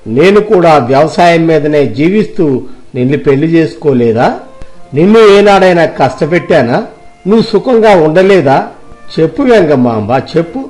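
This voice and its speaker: High-voiced earnest village farmer played by a man. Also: animated, moderate pace, darkish tone, faint music behind, lightly acted. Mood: firm admonishing. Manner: earnest, preachy. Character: principled, kindly middle-aged farmer husband.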